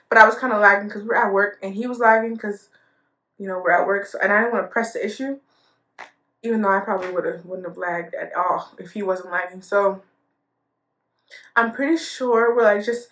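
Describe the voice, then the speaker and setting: A woman's voice: on the high side, a bit bright. A young woman in her twenties telling a casual storytime vlog, chatty, laughing often and full of fillers. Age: 20s. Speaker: storytime vlogger. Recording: echoey room.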